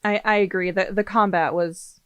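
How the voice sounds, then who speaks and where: Female podcast co-host, mid-pitched, emphatic and opinionated in casual talk, very dry recording. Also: steady tone, moderate pace, fairly bright tone, smooth, no room sound, lo-fi sound. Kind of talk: casual gaming podcast chat.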